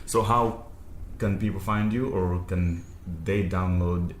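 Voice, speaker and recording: A man's voice: deep voice, fairly even intonation, slow. A man hosting a podcast interview, asking questions casually and laughing easily. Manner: brief back-channel replies, laughs easily. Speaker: podcast host. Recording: echoey room with faint noise.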